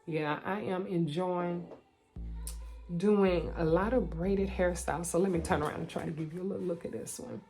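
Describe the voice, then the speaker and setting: A woman's voice: lowish voice, steady tone, moderate pace, dark tone, faint music behind. A woman hosting a beauty vlog, talking in a chatty way with a nasal, stuffy voice from a cold, and repeating phrases for emphasis. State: nasal from a cold, sore throat. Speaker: beauty vlogger. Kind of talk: casual hair vlog chat.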